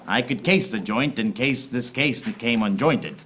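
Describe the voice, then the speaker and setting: A man's voice: medium pitch, unhurried, a bit bright, a little hiss or hum, natural voice. A man playing a hapless, wisecracking private eye who narrates his case in a film noir radio parody, recorded live in a theater. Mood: wry, tense. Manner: wry, self-aware wisecracking. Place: theater stage before a live audience.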